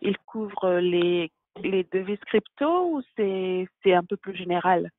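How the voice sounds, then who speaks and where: A woman hosting an interview podcast, asking questions conversationally with halting, repeated words. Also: on the low side, lively intonation, no room sound, narrow, tinny audio. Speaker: podcast host.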